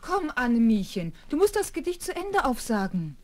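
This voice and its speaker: A woman's voice: fairly high pitch, big pitch swings, normal speed. A woman playing a fussy nursemaid talking to a small girl in a 1970s children's radio play. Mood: coaxing.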